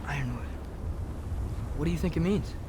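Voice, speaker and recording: A man's voice: on the high side, normal speed, heavy background noise, close to an everyday voice. A young man acting a headstrong, earnest teenage boy hero in a cinematic video game. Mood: wondering, quiet. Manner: defiant, earnest.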